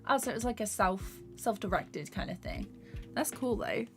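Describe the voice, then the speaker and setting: Young female streamer, slightly hoarse, soft rambling chat over faint music. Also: mid-range voice, animated, moderate pace. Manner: rambling, filler-heavy, soft-spoken. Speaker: animator streaming while drawing. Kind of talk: casual live-stream chat while working. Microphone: desktop USB microphone. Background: faint background music.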